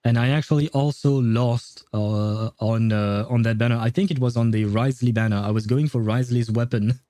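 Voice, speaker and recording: A man's voice: medium pitch, fairly even intonation, brisk, mellow, no echo, studio quality. A man livestreaming a video game, chatting to viewers in an informal, wry way. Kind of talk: casual live gaming commentary, chatting with viewers.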